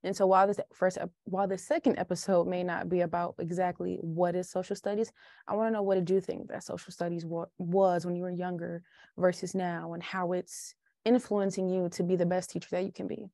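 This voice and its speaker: Young adult woman podcast host, informal and easygoing; mellow timbre, dry recording. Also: lowish voice, little pitch movement, a little fast, no echo. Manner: casual and informal, filler-heavy. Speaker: podcast host, college student. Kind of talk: casual podcast interview.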